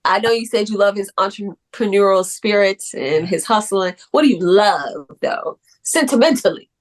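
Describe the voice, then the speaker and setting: Woman, mid pitch, podcast host, encouraging, asking questions. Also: normal speed. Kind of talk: interviewing.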